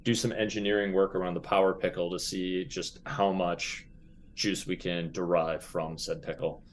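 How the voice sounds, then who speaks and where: A man with a fairly low voice chats as a player in a tabletop game. His delivery is casual and wry, full of joking asides. The recording carries some background noise. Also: little pitch movement, normal speed.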